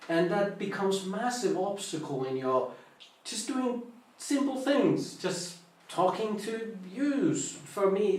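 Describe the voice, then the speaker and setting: Male Buddhist monk, Finnish accent, halting informal talk, very echoey room, mic at a distance. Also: high-pitched. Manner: halting, searches for English words. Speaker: Buddhist monk teaching meditation. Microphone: online live stream, distant mic.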